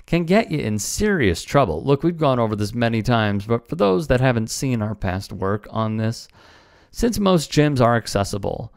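A man's voice: medium pitch, very animated, moderate pace, creaky, clear, resonant tone, no room sound. Male news host, stern and lecturing, dry studio sound. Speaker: sports news commentator. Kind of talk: scripted news commentary.

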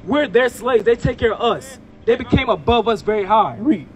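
Young man, high voice, emphatic outdoor street preacher on a handheld mic with faint traffic behind. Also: animated, moderate pace, muffled recording. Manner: emphatic, questioning, repeats words. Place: outdoors by a parking lot.

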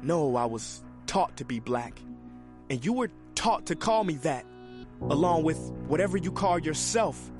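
Guy doing slow spoken word poetry over loud music, high voice. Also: animated. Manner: slow, deliberate, preaching tone. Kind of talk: scripted spoken-word monologue.

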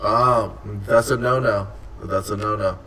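A man's voice: fairly low pitch, fairly even intonation, unhurried, pushed and bright, distant mic. A young man hosting a live stream, chatting casually with slang, swearing and playful teasing from a desk in an indoor room. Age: young adult. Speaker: streamer host.

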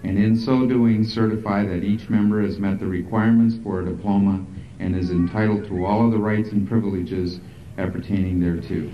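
A man's voice: lowish voice, steady tone, a little fast, a little hiss or hum. A man, a school official, making a formal ceremonial presentation. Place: outdoor sports field. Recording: distant echoey outdoor PA, dull tape.

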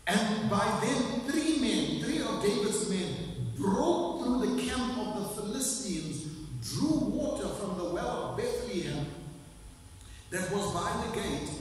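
An older man preaching a sermon from a pulpit, with emphatic pauses that stretch out key phrases. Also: high voice. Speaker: pastor. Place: small church hall with stage and pulpit. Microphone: room mic at a distance. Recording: echoey hall, distant mic, faint hiss.

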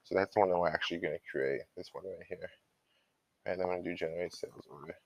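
A man giving an informal step-by-step software walkthrough as a trainer, explaining and thinking aloud as he goes. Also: low voice, steady tone, fast talker, no echo, poor audio.

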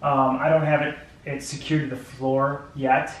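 A man in his thirties casually reviewing gym gear at home, his voice sitting at an ordinary middle pitch. He rambles and restarts his sentences, full of fillers. The sound bounces hard around a bare, very echoey room, picked up by a camera mic a few feet off. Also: level tone, moderate pace, a bit bright. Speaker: product reviewer.

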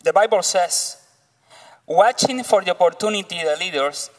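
A man in his thirties with a Cuban accent, preaching as a guest on a church stage with a slow, careful delivery. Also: high-pitched. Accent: Cuban Spanish. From Cuba. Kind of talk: sermon. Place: church stage, large hall. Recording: long reverb of a church hall.

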